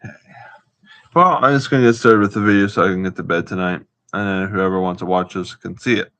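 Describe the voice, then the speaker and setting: A man's voice: deep voice, fast talker, a bit bright. A man hosting a casual, unscripted livestream, talking in a hesitant, rambling and repetitive way. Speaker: live stream host. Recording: dull, roomy livestream audio.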